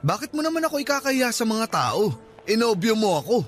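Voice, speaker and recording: A high-voiced, affectionate boyfriend in a radio drama. He teases and coaxes insistently, oblivious to any objection. Faint music sits behind him. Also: big pitch swings, a little fast. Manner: teasing, coaxing. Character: long-lost middle-aged boyfriend, affectionate, oblivious, insistent. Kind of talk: radio drama acting in Tagalog. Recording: music under the voice.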